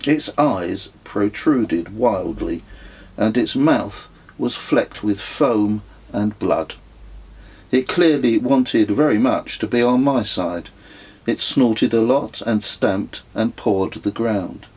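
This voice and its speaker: A man narrates his own written story in a lowish, husky voice. The delivery is slow and measured, with a descriptive audiobook style that takes its time over each phrase. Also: lively intonation, a little mellow, low-quality recording. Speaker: author reading own memoir.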